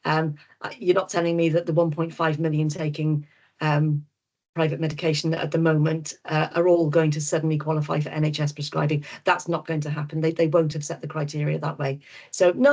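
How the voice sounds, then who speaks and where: A woman's voice: on the low side, darkish tone. A middle-aged woman with a Welsh accent, a doctor and nutritionist answering webinar questions frankly and reassuringly, with plenty of ums and restarts. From Wales. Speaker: GP and nutritionist guest speaker.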